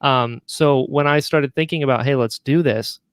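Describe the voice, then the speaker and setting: A man's voice: mid-range voice, normal speed, smooth, no echo. A man chatting casually as a podcast guest, joking and self-deprecating. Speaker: podcaster and audio drama writer, guest. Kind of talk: casual chat.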